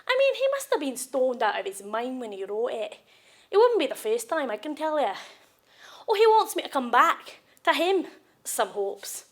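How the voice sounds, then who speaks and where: A woman's voice: high voice, very animated, moderate pace. A woman acting a dramatic monologue as a wounded, angry woman raging at an abusive partner. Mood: bitter, exasperated. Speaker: actress performing monologues. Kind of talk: acted audition monologue.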